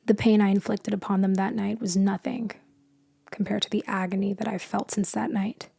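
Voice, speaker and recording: A woman playing a melancholy, lonely immortal vampire in soft, hushed storytelling ASMR that drifts into whispers. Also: medium pitch, fairly even intonation, moderate pace, gravelly, husky, no room sound. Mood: bitter, resigned. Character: melancholy immortal female vampire, lonely, tender, haunted by loss. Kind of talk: slow storytelling ASMR roleplay.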